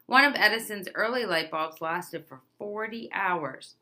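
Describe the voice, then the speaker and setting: A woman's voice: medium pitch, measured pace, bright, forceful tone. A woman reading a children's picture book aloud, expressively, stressing key words as a storyteller does for young listeners. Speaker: read-aloud presenter.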